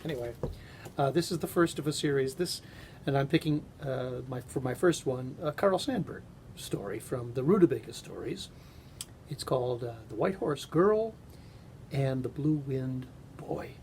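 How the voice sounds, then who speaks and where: A man reading aloud as a storyteller, with measured, gentle phrasing and pauses. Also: animated. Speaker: actor reading a bedtime story. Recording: home recording with noticeable rumbling noise.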